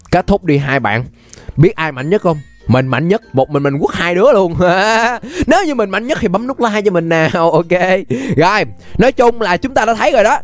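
A young man with a Southern Vietnamese accent giving casual, playful, exclamatory gameplay commentary as a YouTube host. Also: high-pitched. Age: young adult. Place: indoor room, webcam setup.

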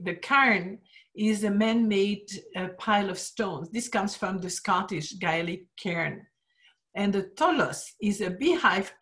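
A woman lecturing over slides on art history, explaining steadily and pausing often with "uh" as she finds her words. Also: mid-range voice, a bit bright. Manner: explanatory, frequent "uh" pauses, trailing off at phrase ends. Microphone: screen-share talk, webcam in corner.

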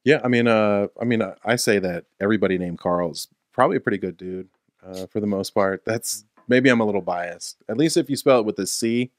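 A man's voice: on the low side, brisk, dry recording. A young man, a comedian from Arizona, chatting as a podcast guest in a laid-back, dry way on a studio mic. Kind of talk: casual comedic chat. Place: small home podcast studio, indoors. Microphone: studio mic on boom arm.

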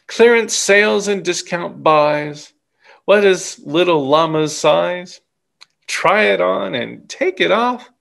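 A middle-aged man reading a children's story aloud in an expressive, deliberate way. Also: high-pitched, creaky. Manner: animated, deliberate. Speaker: picture book reader.

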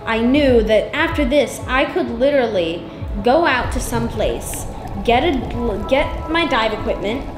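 Teen daughter, matter-of-fact, a bit high, echoey room, music under. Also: female voice, expressive, measured pace. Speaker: vlogger's daughter. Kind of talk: casual vlog talk.

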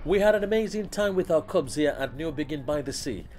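A man giving a polished, scripted voice-over for a family travel vlog, with an even, descriptive delivery. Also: on the high side, brisk, faint music behind.

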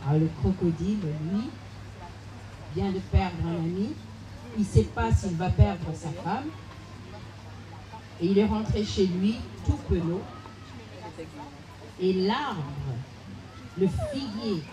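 Woman telling a folk tale slow and dramatic, crowd noise all around. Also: medium pitch, low-quality recording. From Cape Verde, raised in Senegal. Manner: expressive, dramatic pauses. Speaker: storyteller. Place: outdoor festival park. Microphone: handheld mic.

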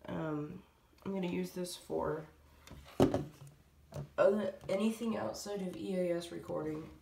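Low-voiced woman in a roomy, distant recording. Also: fairly even intonation, a little slow, vocal fry. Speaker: hobby video creator. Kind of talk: casual vlog update to viewers. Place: small room at home, indoors. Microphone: handheld camera or phone mic. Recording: roomy, distant mic, light noise.

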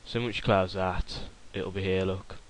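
A young man casually talking through a software tutorial while recording his screen, rambling with fillers and restarts. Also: low-pitched, fairly even intonation, moderate pace, low-quality recording.

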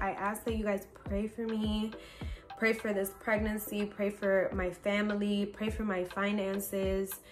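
A woman about thirty chats to her camera from her bedroom, upbeat and full of fillers. Her voice sits at an ordinary middle pitch. Soft music plays faintly underneath. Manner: chatty, upbeat, warm toward viewers, many fillers. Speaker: faith lifestyle vlogger. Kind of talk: casual chat to camera. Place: bedroom at home, indoors.

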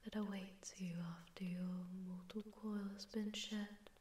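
Woman acting a demon temptress, ASMR roleplay, breathy whisper, soft dark tone, condescending flirtation, echoing distortion, faint hiss. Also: mid-range voice, flat delivery. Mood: flirtatious, intrigued. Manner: teasing, slow, flirtatious, condescending. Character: seductive, amused female sleep paralysis demon, haughty predator. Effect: echoing distortion effect.

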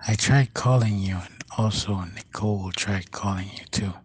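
Worried boyfriend played by a man, very husky and low, intimate drama delivery, dry sound. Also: steady tone, moderate pace, no room sound. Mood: worried, subdued. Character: worried, sleepy husband or boyfriend at dawn. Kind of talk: audio drama acting, intimate domestic talk.

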